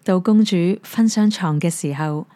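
A woman with a Hong Kong Cantonese accent gently narrating a fairy tale. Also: lowish voice, a little slow, dry recording, clean studio sound. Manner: gentle, even storytelling. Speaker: storyteller. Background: soft piano music.

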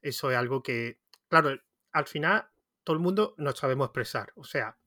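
A man's voice: medium pitch, expressive, normal speed, sharp tone, dry recording, studio quality. A man with an Andalusian Spanish accent hosting a podcast interview, chatty and rambling, often restarting his sentences. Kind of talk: casual conversational interview.

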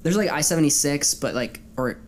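A man's voice: a little high, lively intonation, fairly quick, slight background noise. A young man from Colorado telling a teasing story in casual chat with a friend, laughing often. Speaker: podcast co-host.

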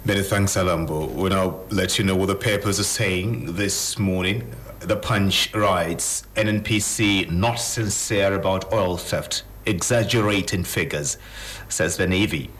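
A male Nigerian presenter reads out headlines with formal, deliberate announcing. His voice sits fairly low, over faint background noise. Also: a bit bright. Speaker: news presenter. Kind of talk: reading newspaper headlines.

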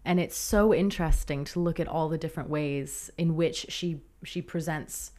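Woman interviewer, slightly low voice. Conversational, curious, responsive delivery. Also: fairly even intonation, normal speed, a little mellow.

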